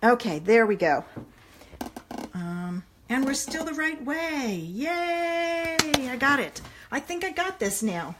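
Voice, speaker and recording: Chatty hoarse woman, live craft stream. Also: high-pitched, sing-song, slow, noisy background. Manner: chatty, rambling, talks straight to viewers. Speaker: papercraft demonstrator. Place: home living room, indoors. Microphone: phone or tablet camera at arm's length.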